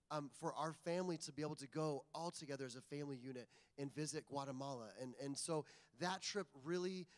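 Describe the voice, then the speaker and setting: A man in his forties from Indiana tells a personal testimony on a church stage, earnest and dotted with ums. His voice runs a touch high. A long hall reverb trails behind each phrase. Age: 40s. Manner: earnest storyteller, lots of ums. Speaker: guest ministry leader. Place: church sanctuary.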